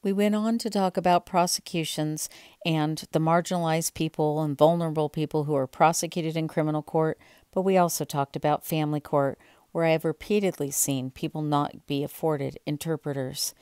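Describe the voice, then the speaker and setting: A woman's voice: sits low, fairly even intonation, normal speed, a little mellow, smooth, no room sound, studio quality. A woman in her thirties, an independent court reporter, speaking earnestly and pointedly to camera in an indoor hallway. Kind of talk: to-camera commentary.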